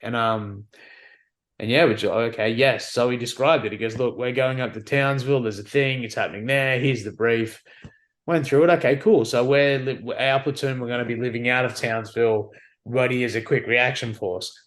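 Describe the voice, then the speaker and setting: A man's voice: mid-range voice, normal speed. Aussie guy spinning yarns about army days on a podcast, laid back, does the voices. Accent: Australian. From Australia. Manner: relaxed, candid, acts out quotes. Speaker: guest, army veteran. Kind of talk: casual storytelling chat.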